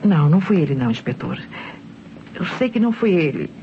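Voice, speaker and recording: An actress playing a frightened, evasive widow with anxious, insistent manner in old radio drama style. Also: female voice, low voice, very animated, fairly quick. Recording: muffled, faintly noisy old radio recording.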